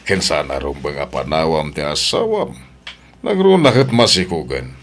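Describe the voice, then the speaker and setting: Male actor as stern Ilocano father in audio drama: low register, very lively intonation. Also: normal speed, a little hiss or hum, full character voice. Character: stern, disapproving father of a grown son.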